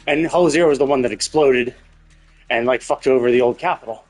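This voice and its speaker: A man's voice: mid-range voice, a little fast, a little mellow. A man streaming a game with casual, rambling commentary and some swearing, explaining things as he thinks aloud. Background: game music.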